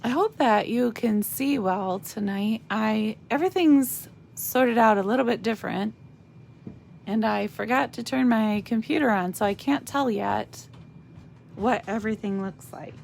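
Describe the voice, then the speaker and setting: A woman with a slightly raspy voice giving a chatty live craft demonstration, thinking aloud as she stamps and colors. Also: a little high, darkish tone, slight background noise. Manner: slow, chatty, thinks aloud while working.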